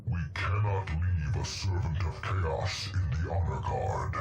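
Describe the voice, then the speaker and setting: An actor playing a solemn, righteous space marine adviser in a video game, speaking gravely. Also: male voice, sits low, a little mellow. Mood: grave warning. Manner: grave, deliberate. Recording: echoey, distant, music behind.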